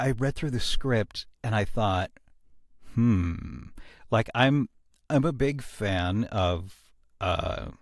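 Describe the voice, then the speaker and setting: A man talking casually as a podcast host about creative work, in an earnest, reflective way with halting pauses. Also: lowish voice, no room sound, studio quality. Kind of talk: casual behind-the-scenes discussion.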